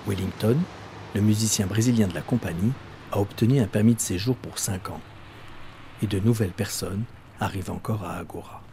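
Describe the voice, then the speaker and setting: Male documentary narrator and interviewer, from France, low mellow tone, reflective delivery. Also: a little fast, a little hiss or hum. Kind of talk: interview questions and calm narration.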